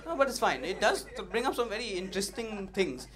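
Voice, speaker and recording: A young man doing an open-mic stand-up set, punchy and animated, teasing the audience, on a stage mic. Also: high voice, brisk, a crowd in the background. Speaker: stand-up comedian. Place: comedy club stage. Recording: noisy live club recording.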